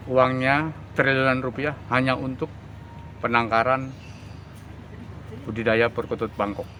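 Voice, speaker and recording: A man with an Indonesian accent hosting a bird-breeding channel, presenting in an energetic, emphatic announcer style. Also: mid-range voice, background noise. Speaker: host.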